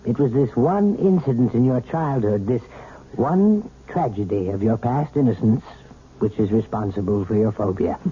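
An actor playing a calm male psychiatrist who speaks in a measured, soothing way, in a 1970s radio drama. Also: mid-range voice, breathy edge. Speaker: doctor. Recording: tinny 1970s radio broadcast with hiss.

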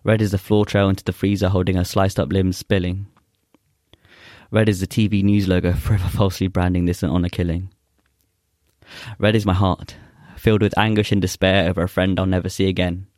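Deep, flat-voiced young man. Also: no echo, studio quality. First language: Urdu. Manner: earnest, halting with many fillers. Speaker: poet guest. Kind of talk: casual chat and spoken-word poetry recital.

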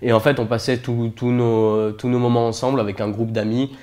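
A man in his twenties with a French accent and an average-pitched voice. He talks casually as a guest in an interview. His manner is jokey and happy to poke fun at himself. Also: level tone, brisk, a little mellow, slight background noise. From France. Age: 20s. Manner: jokey, self-deprecating.

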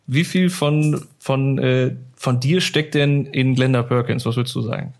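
A man's voice: medium pitch, fairly even intonation, unhurried, vocal fry. A man casually interviewing a guest for a podcast, friendly and a bit tentative in how he phrases things.

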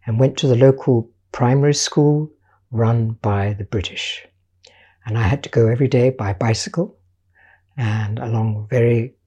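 An older woman, raised in Portugal, telling her life story as an artist in a measured, patient interview manner. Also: rumbling low, warm tone, husky. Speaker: guest artist.

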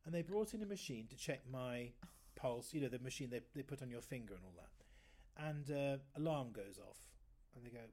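Man, late fifties, fairly high voice, chatty storyteller for a casual interview. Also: expressive, moderate pace, few pauses, a little mellow. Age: 50s. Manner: chatty, rambling storyteller with self-corrections. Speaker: guest oboist.

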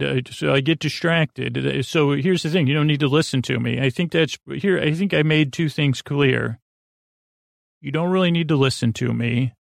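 Rambling man with a gravelly voice. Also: medium pitch, no room sound, clean studio sound. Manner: meandering, stammering, loses his train of thought. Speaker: podcast host. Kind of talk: rambling bedtime podcast monologue.

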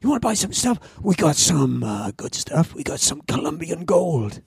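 Old welsh guy putting on a croaky american dealer, drawly and pushy, gravelly and raspy. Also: a little high, big pitch swings, moderate pace, no room sound, studio quality, heavily acted. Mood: hustling. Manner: drawling hustle, repeats "man". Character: pushy American male street dealer, laid-back.

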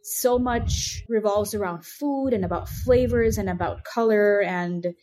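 A woman in her late thirties from Mexico City speaks English with a Mexican Spanish accent, her first language being Spanish. Her voice sits at a middle pitch for a woman. She talks in a chatty, fond storytelling way and slips Spanish words into her sentences. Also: unhurried, dark tone, low-quality recording. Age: late 30s. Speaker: guest, snack company founder. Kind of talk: casual interview chat.

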